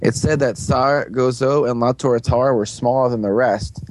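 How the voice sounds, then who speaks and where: A man of ordinary pitch reads fan news on a casual podcast. He talks loosely, full of "uh" and "um", and throws in crude jokes. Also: moderate pace, low-quality recording. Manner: joking, playfully crude, many fillers. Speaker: podcast co-host.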